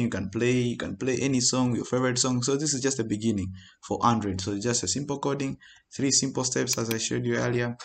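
A man giving an informal, halting screen-recorded programming tutorial as he types. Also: lowish voice, creaky. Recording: noisy home recording with keyboard clicks. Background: keyboard typing and mouse clicks.

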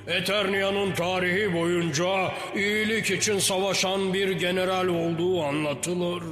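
Ancient tree spirit for cartoon: high-pitched, very slow, gentle and solemn, deep in reverb. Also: male voice, steady tone. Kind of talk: dubbed cartoon acting. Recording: echoey long reverb, music behind.

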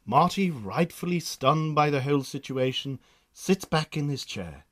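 A man's voice: fairly high pitch, lively intonation, a little slow, a bit bright. A man with a Northern Irish accent narrating a scripted radio drama.

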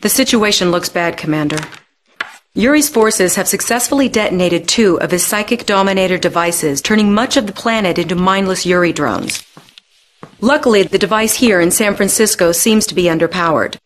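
An actress playing a young, composed military officer, giving a serious, clipped game briefing. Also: female voice, mid-range voice, moderate pace, slight background noise, lightly acted. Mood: grave.

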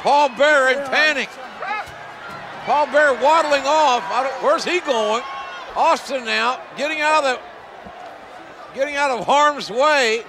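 A man's voice: very high-pitched, unhurried, long pauses. A man playing a grandiose, alarmed wrestling play-by-play announcer in a video game. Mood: dramatic, alarmed. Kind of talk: dramatic wrestling commentary. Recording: loud crowd roar, noisy game audio. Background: roaring arena crowd.

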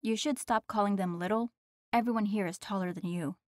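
A woman's voice: medium pitch, brisk, natural voice. A woman acts out a sardonic female demon in an audio roleplay. Her mid-range voice is teasing and nonchalant. The recording is close and dry, with no room echo.

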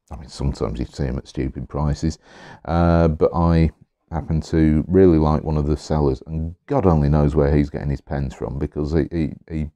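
A middle-aged man, slightly hoarse, chatting casually as he unboxes pens at his desk, rambling with many pauses and restarts. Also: a bass voice, dark tone, no room sound. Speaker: pen collector vlogger. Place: indoors at a desk, overhead camera on hands.

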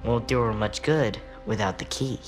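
A man acts out a teenage American street boy in a cinematic video game. He sounds guarded and cocky, with a medium-pitched voice. His delivery is blunt, bookish and earnest. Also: normal speed, soft background music, close to an everyday voice. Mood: earnest, challenging. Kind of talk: naturalistic video game acting.

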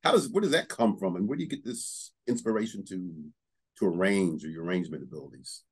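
Fast-talking husky male interview host. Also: sits low, lively intonation. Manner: chatty, enthusiastic, many "you know"s. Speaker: show host. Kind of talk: casual interview. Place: studio with banner backdrop. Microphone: studio mic on stand.

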